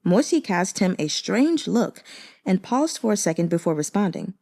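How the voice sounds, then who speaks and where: A woman's voice: mid-range voice, big pitch swings, normal speed, gravelly, dark tone. A woman reading an audiobook as narrator, telling the story expressively.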